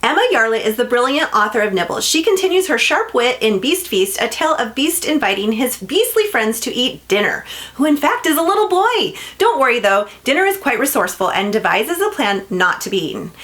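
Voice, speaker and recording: Female promo narrator, high register, swooping intonation, upbeat scripted pitch for a family audience. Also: sing-song, fairly quick, a bit bright. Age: adult, parent of a school-age child. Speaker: children's book seller and reading coach.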